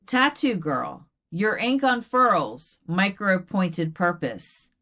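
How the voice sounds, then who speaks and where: A woman plays an earnest doll poet at a reading. She recites very slowly in a lowish voice, deliberate and measured with each line. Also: big pitch swings, a bit bright, full character voice. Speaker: poet reading own work. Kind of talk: poetry recitation.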